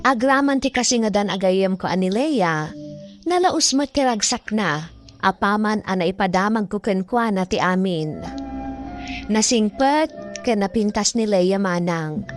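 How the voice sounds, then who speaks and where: A woman's voice: mid-range voice, lively intonation, a little slow, lightly acted. Woman acting a confiding young storyteller over music. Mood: wistful confiding. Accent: Ilocano. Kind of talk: Ilocano radio drama acting. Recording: music behind the voice.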